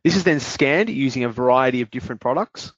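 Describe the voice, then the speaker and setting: Male presenter, measured explainer, dry and dull lo-fi web audio. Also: mid-range voice, animated, no room sound. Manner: measured, explanatory, some fillers. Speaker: webinar presenter, business development manager. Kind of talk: product demo webinar.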